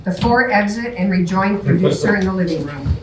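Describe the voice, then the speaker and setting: A woman's voice: medium pitch, lively intonation, a little fast. A woman narrating stage directions from a script at a staged reading. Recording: echoey room, distant mic, noisy.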